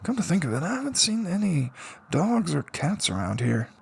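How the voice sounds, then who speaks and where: A man's voice: a little high, big pitch swings, normal speed, creaky, darkish tone, breathy edge, pitched above the performer's own voice, heavily acted. A man voicing a wry seventeen-year-old shut-in boy, musing to himself in an audiobook reading.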